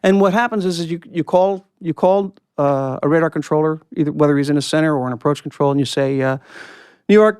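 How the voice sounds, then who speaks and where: A man's voice: fairly high pitch, smooth, no echo, studio quality. A man being interviewed as a guest, explaining technical procedures and recounting experiences in conversational detail. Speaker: guest, former air traffic controller and pilot.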